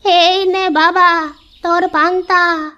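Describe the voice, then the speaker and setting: Woman playing a high, slow old village woman. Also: flat delivery, pushed and bright, highs cut off, exaggerated voice. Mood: kindly. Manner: slow, drawn-out, addressing youngster familiarly. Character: old village woman granting boons, kindly but testing.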